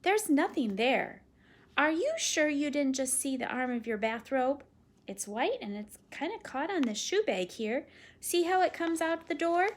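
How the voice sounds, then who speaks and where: A woman voicing a calm, patient father who gently teases his daughter with dry jokes. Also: high voice, a little slow, pitched above the performer's own voice. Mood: gently teasing. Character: calm, teasing father, a lawyer.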